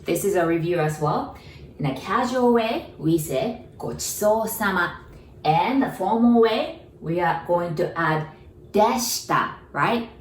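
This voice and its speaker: A woman with a Japanese accent teaches a lesson at an unhurried pace, repeating each word and checking in with her learners. Her voice sits a little low. She sounds distant from the mic in an echoey room. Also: lively intonation, slight background noise. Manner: patient, repeats words slowly, asks learners questions, laughs softly. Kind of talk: online language lesson.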